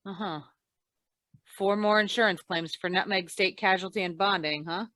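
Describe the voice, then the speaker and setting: A woman playing a wry, deadpan female insurance investigator in old-time radio noir style. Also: mid-range voice, moderate pace, no room sound, clean studio sound, close to an everyday voice. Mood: dry, probing. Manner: deadpan, wisecracking.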